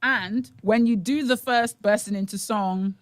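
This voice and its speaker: A woman's voice: medium pitch, measured pace, dry recording, studio quality. A woman co-hosting a morning show in casual, emphatic, opinionated chat. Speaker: co-host of a morning radio show.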